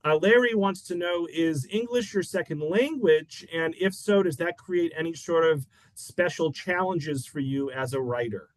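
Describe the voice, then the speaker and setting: A man hosting a library author interview over a video call, asking questions in a halting way full of fillers. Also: on the high side, no echo, poor audio. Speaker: library event host.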